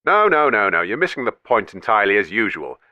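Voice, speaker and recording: A man's voice: fairly low pitch, big pitch swings, fast talker, pushed and bright, dry recording, highs cut off, exaggerated voice. A man voicing a haughty, greedy old banker in a comedy radio sketch. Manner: haughty, condescending.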